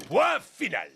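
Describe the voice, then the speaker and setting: An actor voicing a scheming, pompous crocodile ambassador in a cartoon, with a gravelly, gloating voice. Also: male voice, sits high, background noise, heavily acted. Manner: pompous, cackling, self-important. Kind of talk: cartoon voice acting. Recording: cartoon soundtrack with music behind.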